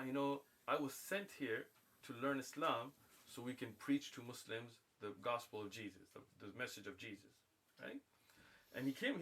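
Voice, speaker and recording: A man from the United States telling a long anecdote in casual livestream talk, acting out the people in it. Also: mid-range voice. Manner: animated, acts out voices in anecdotes.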